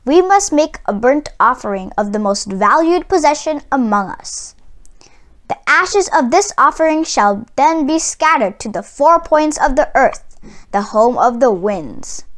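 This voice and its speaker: A woman voicing a solemn shaman in a children's storybook, making grave, formal pronouncements in a deliberate way. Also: high-pitched, very animated, fairly bright tone, heavily acted. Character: solemn shaman relaying the great spirits' decree. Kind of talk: children's storybook read-aloud.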